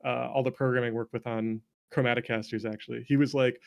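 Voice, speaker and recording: A North American man around thirty with a creaky, fry-edged voice at an ordinary pitch. He tells stories in a loose, conversational way, self-deprecating and quick to laugh, with casual swearing. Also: level tone. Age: late 20s to early 30s. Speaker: interview guest, game developer.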